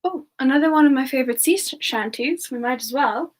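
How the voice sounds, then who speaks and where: A woman voicing a gentle, affectionate character in a soft-spoken semi-ASMR chat with viewers. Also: high voice, brisk. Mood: affectionate, relaxed. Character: Frostbyte, gentle affectionate character who loves hugs. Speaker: live stream host.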